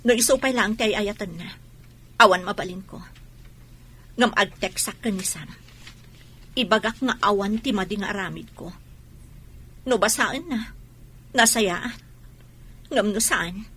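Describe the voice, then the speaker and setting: Bright, earnest woman played by an actress. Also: medium pitch, a little slow, long pauses, breathy edge. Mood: troubled. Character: earnest, troubled woman and reassuring young mother. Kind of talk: radio drama acting.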